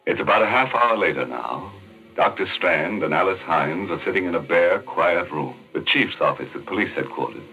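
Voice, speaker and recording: A man's voice: deep voice, animated, normal speed, faint music behind. A man hosting and narrating a scripted 1940s horror radio show in a macabre, sly, tongue-in-cheek manner. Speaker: host and narrator. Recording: dull old radio recording.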